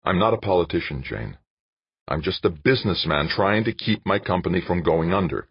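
Male narrator as hot-headed businessman, husky, blunt, combative, grumbling, slightly muffled dry recording. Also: sits low, animated, a little fast, no room sound, voice pushed up, exaggerated voice. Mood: insistent. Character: gruff, stubborn, hot-tempered businessman under pressure.

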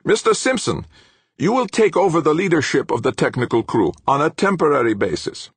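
A man's voice: fairly high pitch, very animated, fairly quick, breathy edge, no echo, voice pushed up. A man voicing an icy, indignant professor in an audiobook, with clipped, formal and imperious delivery. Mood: stern.

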